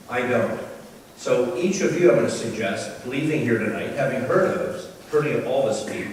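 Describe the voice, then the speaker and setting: A man's voice: mid-range voice, a little mellow, far from the mic, slight background noise. A man running for city council, giving a firm, earnest campaign speech at a public candidates meeting. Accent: Canadian. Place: large hall at a candidates meeting. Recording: echoey hall, mic from afar.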